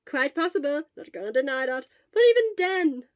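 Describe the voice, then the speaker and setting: Exuberant, loyal schoolboy for audio fiction, acted by a woman: loud, high-pitched, cheerful and earnest. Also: lively intonation, normal speed, no room sound, studio quality. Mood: playful. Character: loud, cheerful, loyal teenage boy, aspiring hero.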